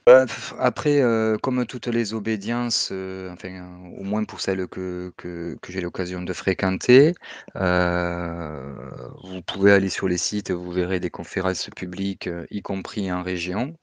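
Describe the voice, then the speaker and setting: A man speaking as a guest panelist, explaining casually in halting speech with many fillers and repeats. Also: low-pitched, fairly even intonation, clean tone, no echo.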